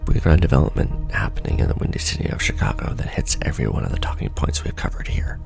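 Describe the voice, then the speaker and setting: A man performing an ASMR roleplay as a seasoned, wry secret-society official, talking softly and casually with a gravelly, breathy undertone. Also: very deep voice, big pitch swings, music in the background. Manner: wry, casual, unhurried explaining. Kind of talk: ASMR roleplay monologue, soft conversational briefing.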